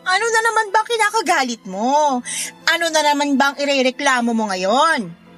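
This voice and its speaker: A woman's voice: sits high, lively intonation, moderate pace, a bit bright, faint music behind. An actress with a Filipino accent playing a sarcastic, spiteful, unrepentant neighbour woman in a radio drama. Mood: irritated, taunting. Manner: mocking, flippant, dismissive.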